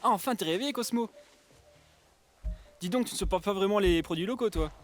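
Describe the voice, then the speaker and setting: A man's voice: sits high, fairly quick, faint music behind, close to an everyday voice. A man playing a chatty, teasing companion in a science fiction audio drama, talking informally. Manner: teasing, informal, animated talk. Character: chatty, teasing young male companion of space adventurer. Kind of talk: French audio drama dialogue.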